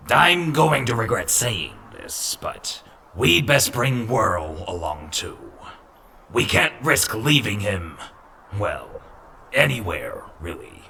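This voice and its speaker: A man playing a calm, weary robot doctor in a comic dub, with a dry, measured, reassuring manner. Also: lowish voice, gravelly, slight background noise, full character voice. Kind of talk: comic book dub acting.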